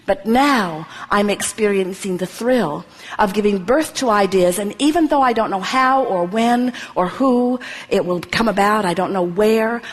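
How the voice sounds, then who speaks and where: A woman narrating a guided meditation of first-person affirmations, with measured, deliberate phrasing. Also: medium pitch. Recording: long reverberant hall-like echo.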